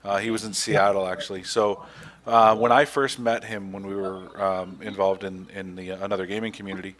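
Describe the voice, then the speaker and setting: Low-voiced joking male host. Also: flat delivery, brisk, a bit bright. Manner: joking, rambling questions. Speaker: show host. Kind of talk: casual interview chat.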